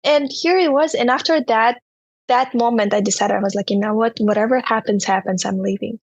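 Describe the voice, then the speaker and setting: Husky young woman with a Turkmen accent. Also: fairly high pitch, dry recording. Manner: halting, many "you know" and "I was like" fillers. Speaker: guest. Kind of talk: personal storytelling in an interview.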